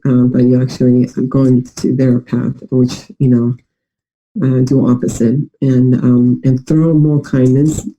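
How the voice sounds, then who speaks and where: A woman from Russia with a New York-sounding American accent, a bit nasal from a recent cold, speaking earnestly with many pauses and fillers in a video-call interview. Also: very deep voice, dark tone, poor audio. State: slightly nasal from recent illness. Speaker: interview guest, adoptee.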